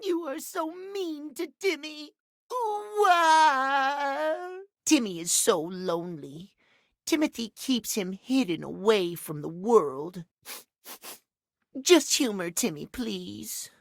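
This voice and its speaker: A man's voice: very high voice, lively intonation, slow pace, vocal fry, a bit bright, no echo, clean studio sound, exaggerated voice. A man voicing a cute, needy, childlike cat creature in a video game, with babyish, whiny, pouty delivery. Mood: hurt, whiny. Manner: babyish third-person cutesy talk, whiny. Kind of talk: video game character voice acting.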